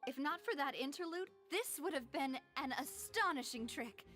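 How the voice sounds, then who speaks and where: High-voiced young female investigator. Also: lively intonation, moderate pace, a bit bright. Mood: thoughtful. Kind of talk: video game dialogue acting. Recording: faint music behind the voice.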